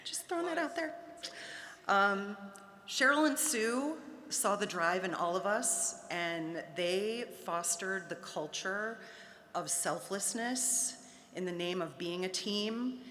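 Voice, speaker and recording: A woman's voice: medium pitch, takes it slowly, sharp tone, a little hiss or hum. A woman in her fifties giving a heartfelt induction speech at a podium, with light humorous asides. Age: 50s. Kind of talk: prepared ceremony speech. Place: large hall at an awards ceremony. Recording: echoey hall with faint ambience.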